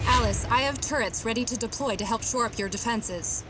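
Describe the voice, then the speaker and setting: An actress playing a calm, helpful female shipboard artificial intelligence in a video game, composed and supportive. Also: fairly high pitch, a little fast, very noisy, close to an everyday voice. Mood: concerned, helpful. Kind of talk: video game cutscene acting.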